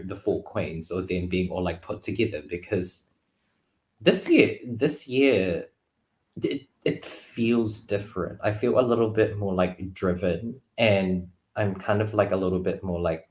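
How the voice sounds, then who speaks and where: A man chatting casually and reflectively as a guest tarot reader, halting often and leaning on "like" and "you know". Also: sits low, a little mellow. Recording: roomy, echoing livestream call.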